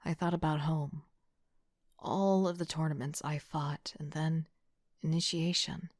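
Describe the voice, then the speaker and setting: A woman playing a young team leader who narrates an audio drama in a reflective, softly breathy, introspective way. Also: low-pitched, steady tone, measured pace, no room sound, clean studio sound.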